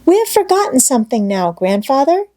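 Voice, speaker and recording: An eager little girl from a storybook, acted out by a woman narrator. The voice lifts high and lilting, innocent and childlike, with cheerful, plain-spoken delight. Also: big pitch swings, fairly quick, dark tone. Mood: busy, reassuring. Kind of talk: storybook read-aloud character voice.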